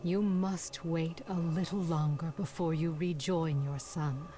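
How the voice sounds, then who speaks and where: An actress playing a gentle, grave female dragon in a fantasy game, with solemn, measured delivery. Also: deep voice, fairly even intonation, slight background noise. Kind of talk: scripted fantasy game dialogue. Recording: game music behind the voice.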